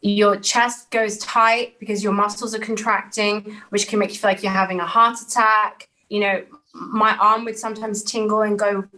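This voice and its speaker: A woman's voice: medium pitch, little pitch movement, moderate pace, sharp tone, rough recording. A woman, speaking earnestly and reflectively as a podcast guest and repeating phrases for emphasis. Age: 30s. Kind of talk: candid personal interview.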